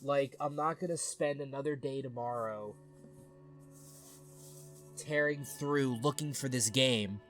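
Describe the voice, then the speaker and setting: A man's voice: medium pitch, slow pace, pauses often, fairly bright tone, soft background music. A young adult man hosting a let's play, rambling in a casual, chatty way, a bit sniffly from allergies. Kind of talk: casual gameplay commentary.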